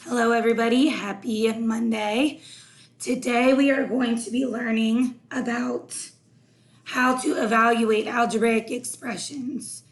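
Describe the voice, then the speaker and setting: A hoarse woman teaching a recorded math lesson, explaining each step slowly. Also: a little high, steady tone.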